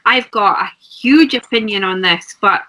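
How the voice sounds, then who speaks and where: A woman's voice: medium pitch, unhurried, bright, forceful tone, low-quality recording. A woman, co-hosting a casual chat, affirming and agreeable. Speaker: co-host.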